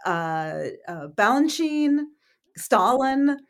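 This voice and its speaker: Halting woman interviewer full of fillers. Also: sits high, very animated. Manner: halting, many fillers and false starts. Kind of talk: interview questions.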